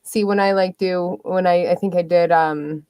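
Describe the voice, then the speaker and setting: A woman's voice: lowish voice, steady tone, moderate pace, darkish tone, smooth, dry recording. A woman, interviewing in a casual, informal way as a podcast host.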